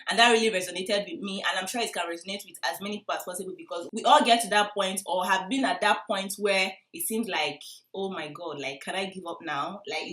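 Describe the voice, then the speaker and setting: A young woman with a Nigerian Igbo accent, chatting casually and expressively to viewers as she reviews a movie, with excitable, emphatic delivery. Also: mid-range voice. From Enugu, southeast Nigeria. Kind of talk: casual vlog chat to viewers. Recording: roomy indoor echo.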